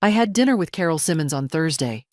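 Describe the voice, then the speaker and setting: Woman voicing a composed, unyielding older mother, low-voiced. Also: lively intonation, fast talker, a little mellow, no echo. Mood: calm, firm. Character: composed, firm older mother, quietly defiant homeowner.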